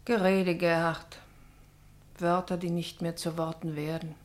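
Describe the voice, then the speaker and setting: A woman's voice: lowish voice, flat delivery, measured pace, close to an everyday voice. An actress playing a middle-aged pastor's wife grieving for her daughter in a German radio drama, anguished, bitter and brooding. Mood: bitter grief. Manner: anguished, brooding, partly whispered.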